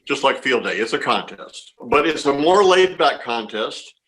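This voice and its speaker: A man's voice: medium pitch, very animated, normal speed, a bit bright, rough recording. A man being interviewed as a contest organizer, explaining things informally and reassuringly, often repeating words and phrases.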